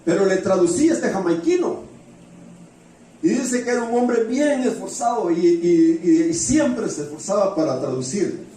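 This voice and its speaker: A man preaches in a storytelling sermon style, his voice fairly high and somewhat mellow. He repeats phrases for emphasis and turns to the congregation with questions. The sound is heavily echoing, as in a big hall. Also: lively intonation, moderate pace. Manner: often addresses the congregation with rhetorical questions. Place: church hall with heavy echo. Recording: very echoey hall, distant mic, faint noise.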